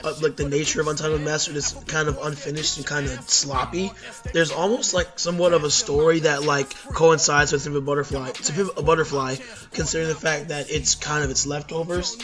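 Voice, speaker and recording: A hoarse young man ranking albums in a rambling, casual talk, full of fillers and self-corrections. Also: on the high side, steady tone, brisk, music in the background. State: hoarse from losing voice at a concert. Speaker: YouTube music reviewer.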